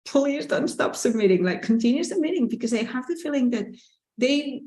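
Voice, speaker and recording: A woman's voice: on the high side, warm tone, reverberant. A woman with a Catalan accent, a native Catalan speaker, hosting a casual live Q&A, chatty and candid, with lots of "like" and "you know" and small laughs as she reads out viewer questions. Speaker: artist and business owner hosting a live Q&A.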